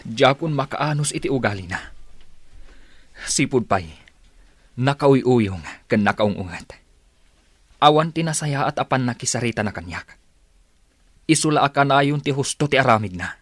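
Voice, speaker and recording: A man's voice: medium pitch, lively intonation, moderate pace, long pauses, no room sound, natural voice. A man with an Ilocano accent playing a fed-up, stubborn husband in a radio drama. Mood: weary, resolute. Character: fed-up husband, weary and stubborn, confiding in mother.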